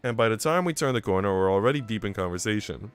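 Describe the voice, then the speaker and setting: A man's voice: fairly low pitch, expressive, quick pace, clear, resonant tone, faint music behind. A man reading a visual novel aloud on a stream, mixing narration with casual, chatty remarks to viewers. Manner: chatty asides between narrated passages. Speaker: game streamer.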